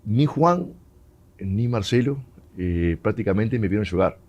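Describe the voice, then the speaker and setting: A man's voice: on the low side, expressive, unhurried, a little mellow. A Uruguayan man, a former footballer whose first language is Spanish, telling stories in an interview and mixing Portuguese with Spanish. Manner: mixes Portuguese and Spanish, laughs often. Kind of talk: storytelling interview.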